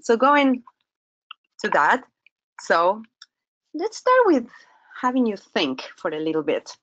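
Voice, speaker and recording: A woman's voice: a little high, very animated, slow pace, a bit bright. A woman from Argentina in her fifties, with an Argentine accent, giving a lecture over slides as a professor, talking in a conversational way that asks the audience questions, with some hesitations. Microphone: computer microphone in an online webinar. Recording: slightly muffled webinar audio.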